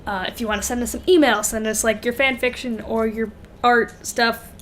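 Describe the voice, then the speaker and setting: A young woman with a fairly high voice, recorded with faint background noise. She co-hosts a casual comedy podcast. Her delivery is wry and exclamatory, with laughter running through the talk. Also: steady tone, moderate pace, a bit bright. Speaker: podcast co-host.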